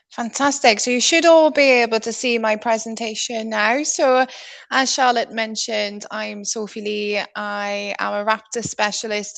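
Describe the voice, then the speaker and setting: A woman's voice: medium pitch, fairly bright tone, dry recording. A woman from South Wales in her thirties with a South Welsh accent, giving a chatty webinar talk with many ums and restarts over a video call. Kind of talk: presentation over screen share.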